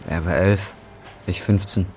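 An actor playing a broke, bitter twenty-five-year-old roofer in a German radio drama, weary, slangy and plain-spoken. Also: male voice, low-pitched, steady tone, unhurried, a little mellow. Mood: wistful. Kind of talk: German radio drama acting, dialogue and inner monologue. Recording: music under the voice. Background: music.

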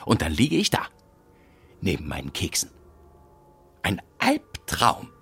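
A man's voice: low voice, wildly swooping pitch, slow, bright, forceful tone, breathy edge, soft background music, heavily acted. A man playing a frazzled, self-pitying cookie inventor, jittery and melodramatic, in a children's audio drama. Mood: doom-laden. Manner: melodramatic, jittery, repeats himself plaintively.

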